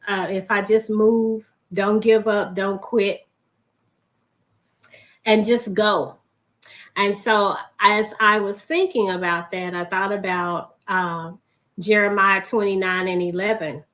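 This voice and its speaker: A woman hosting a faith broadcast, hoarse and losing her voice, often clearing her throat as she gives a reflective, encouraging talk. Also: medium pitch, fairly even intonation, slow, fairly bright tone.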